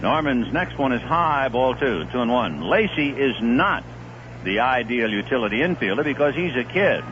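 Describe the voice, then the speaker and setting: Guy doing baseball play by play, bouncy voice, old radio with faint hiss. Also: normal speed. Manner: steady, conversational play-by-play with live pitch calls. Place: stadium broadcast booth. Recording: muffled 1970s AM radio broadcast with faint hiss.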